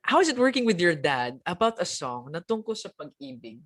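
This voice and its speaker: A man's voice: high-pitched, very animated, fairly quick, creaky, fairly bright tone. Chatty man hosting a launch. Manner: upbeat, chatty, switching between English and Tagalog with many fillers. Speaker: host. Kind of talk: live-streamed media announcement hosting.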